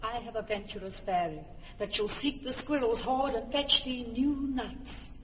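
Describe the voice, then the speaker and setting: A woman's voice: on the high side, normal speed, fairly bright tone, in a large hall, far from the mic, muffled recording, slight background noise, close to an everyday voice. An actress playing an enchanted fairy queen, cooing tender verse in a radio drama. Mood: doting. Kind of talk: classic stage radio drama.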